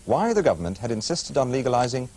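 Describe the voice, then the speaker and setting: A man's voice: medium pitch, big pitch swings, fast, darkish tone. A man with a British accent presenting a regional news programme from a script, with the odd wry aside. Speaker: studio presenter.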